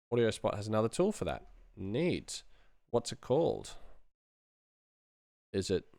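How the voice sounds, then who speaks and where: A man's voice: fairly low pitch, vocal fry, darkish tone, no echo. A middle-aged man chatting casually as he works on a livestream, thinking aloud in a dry, self-deprecating way. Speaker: sound designer streaming his work. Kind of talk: casual livestream chat while working.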